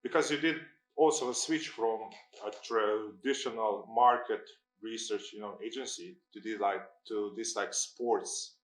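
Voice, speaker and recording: A man's voice: fairly low pitch, a little slow, echoey room, poor audio. A man hosting a live industry talk who stammers, repeats words, and fills his questions with "like". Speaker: event host, interviewer. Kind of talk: live panel interview.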